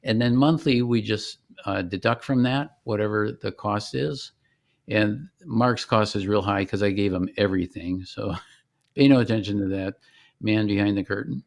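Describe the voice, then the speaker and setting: A man giving an informal product demonstration as a company founder, explaining in a halting, conversational way. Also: lowish voice, gravelly.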